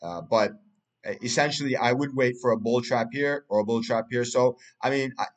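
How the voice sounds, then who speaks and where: A man's voice: medium pitch, fairly quick. A man, a Turkish speaker, talking through a price chart in casual analysis, rambling with many fillers and restarts. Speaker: crypto market analyst.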